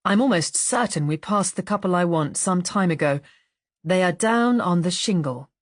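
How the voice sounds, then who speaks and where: A woman voicing a courteous, guarded male police inspector in an audiobook, measured and casually polite. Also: lowish voice, expressive, dry recording, clean studio sound, natural voice. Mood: assured, guarded.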